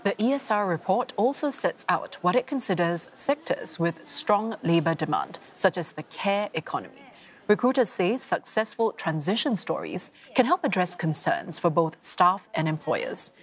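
A woman presenting a news report and interviewing a guest, with measured, clear delivery. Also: fairly low pitch, very animated. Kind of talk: scripted news reading and interviewing.

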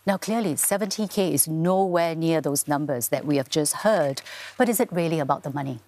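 A woman's voice: deep voice, brisk, darkish tone. A woman moderating a panel discussion as host.

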